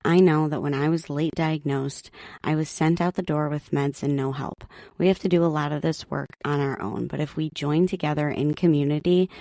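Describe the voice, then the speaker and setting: Middle-aged woman host, low gravelly monotone, calm and even, dry close mic. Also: no room sound. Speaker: podcast host. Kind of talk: solo podcast talk. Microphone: home podcast mic.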